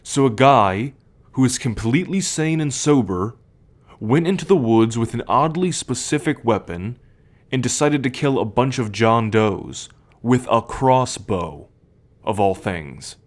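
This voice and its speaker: A young man playing a troubled, protective male werewolf in an ASMR roleplay, speaking in a measured, halting, confiding way. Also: medium pitch, lively intonation, lightly acted. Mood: earnest.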